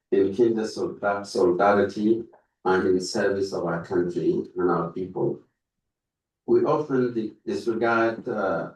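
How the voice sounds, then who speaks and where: A man reading a prepared tribute aloud at a conference, haltingly, stumbling over words and repeating them. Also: low voice, a little mellow. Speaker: speaker reading out a written tribute. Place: indoor conference room. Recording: roomy echo, distant microphone.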